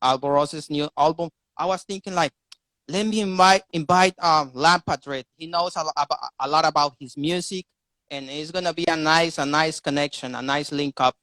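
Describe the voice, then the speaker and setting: Guy hosting a live video chat, kinda high voice, dry sound, keeps repeating words. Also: moderate pace, bright, forceful tone, no room sound, poor audio. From Costa Rica. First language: Spanish. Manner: rambling, repeats words while finding them. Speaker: live stream host.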